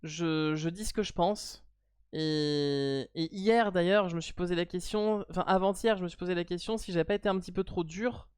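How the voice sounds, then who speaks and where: A woman's voice: on the low side, normal speed, a little mellow, smooth, no room sound, clean studio sound. A woman with a French accent, hosting a live stream and chatting casually, blunt and playfully teasing.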